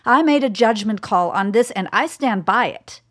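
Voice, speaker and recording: A woman's voice: a little high, expressive, moderate pace, fairly bright tone. A woman playing a resolute, guarded doctor and intelligence official in a radio play, speaking with firm, formal deference. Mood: firm, urgent.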